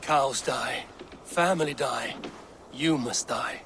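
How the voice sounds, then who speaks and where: A man's voice: medium pitch, slow pace, background noise, close to an everyday voice. A man playing a stern, defiant Viking warrior in a video game, with a grave and resolute delivery. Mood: urging. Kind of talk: dramatic video game acting.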